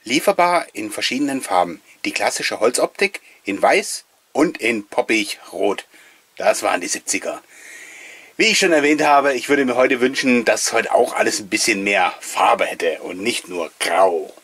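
A man's voice: on the low side, expressive, normal speed, fairly bright tone, husky, no echo. A middle-aged man giving a casual, nostalgic voice-over commentary, reminiscing with wistful enthusiasm as the host. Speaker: host of a collectors' video channel.

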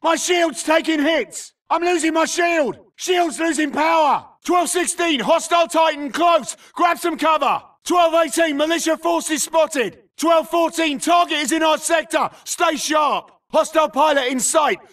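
An actor playing an urgent futuristic soldier in a video game, barking clipped military combat callouts in a strained voice. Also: male voice, very high-pitched, measured pace, hardly any pauses, fairly bright tone, raised voice, distant mic. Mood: alert, urgent. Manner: clipped, urgent, barking short radio-style phrases. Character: tense, urgent futuristic infantry soldier in firefight.

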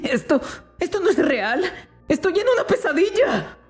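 A woman's voice: very high-pitched, sing-song, moderate pace, soft background music. A woman with a Latin American Spanish accent voicing a whiny, foul-mouthed, gluttonous kid who scares easily, in a horror cartoon dub. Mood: uneasy. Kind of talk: horror animation fandub acting.

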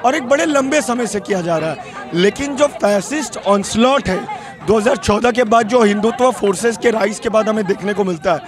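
Young guy activist yelling his points into a reporter mic outside, high voice, crowd noise all around. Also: normal speed. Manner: forceful, fiery political speech. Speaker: student activist. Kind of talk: street interview at a protest. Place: outdoor street protest. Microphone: handheld reporter mic. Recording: outdoor protest with crowd behind.

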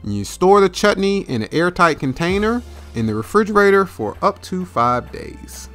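A man hosting a cooking demonstration, reading a recipe's ingredients and steps in a measured, instructive way. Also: high-pitched, very animated, a bit bright, soft background music.

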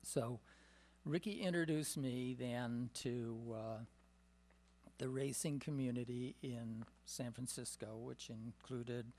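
An older man with a gravelly voice talks into a desk microphone in a meeting room. He tells long, rambling stories from his past, full of "uh" and "um" fillers and small chuckles. His voice sits at a middling pitch for a man. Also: darkish tone, slight background noise. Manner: storytelling with many "uh" and "um" fillers, chuckling. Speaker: symposium panelist. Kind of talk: rambling personal reminiscence. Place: meeting room, seated at a table. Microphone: desk microphone on a stand.

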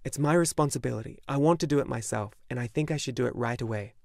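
A man voicing an earnest young boy in an audiobook, eager and sometimes halting. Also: mid-range voice, gravelly, no room sound, studio quality, lightly acted. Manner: eager, halting when unsure. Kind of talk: audiobook character voice.

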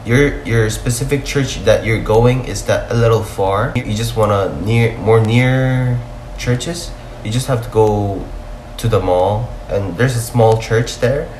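A man's voice: on the low side, a little slow, darkish tone. A young man with a Filipino English accent, chatting casually as he reacts to a video, loose and full of fillers, recorded on an earphone mic in a small room. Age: young adult. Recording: roomy echo, faint hiss.

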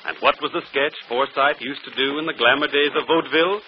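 A man's voice: mid-range voice, a little fast, close to an everyday voice. A man playing a brilliant, self-assured detective in 1940s radio drama style, articulate and theatrical. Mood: confident, explaining. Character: brilliant, self-assured male detective explaining his solution. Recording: muffled, noisy old radio broadcast.

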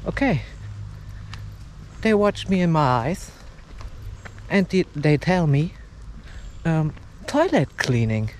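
A young man chatting casually in halting, simple phrases as he records a walking vlog. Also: a little high, big pitch swings. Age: young adult. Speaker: walking vlogger. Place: outdoors, suburban street and park path. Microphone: action camera at arm's length, no windscreen. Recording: windy outdoor camera mic, street noise.

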